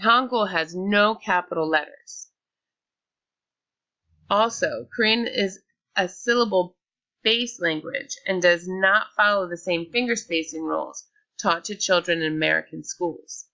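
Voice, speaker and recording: A woman's voice: fairly low pitch, a little slow, pushed and bright, muffled recording. A woman reading a scripted slide presentation aloud, with small slips and restarts.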